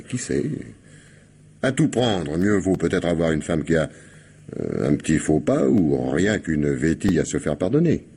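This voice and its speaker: A man's voice: a bass voice, very animated, a little slow, creaky, dark tone, breathy edge, heavily acted. An actor playing a wry, indulgent old father in an old French radio drama, musing and sly. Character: wry, indulgent old father teasing his grown son. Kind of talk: old French radio comedy-mystery acting.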